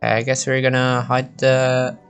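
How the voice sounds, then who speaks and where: Low-voiced woman streaming a game. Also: little pitch movement, unhurried, clear, resonant tone, soft background music. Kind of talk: casual reactions and reading game text aloud.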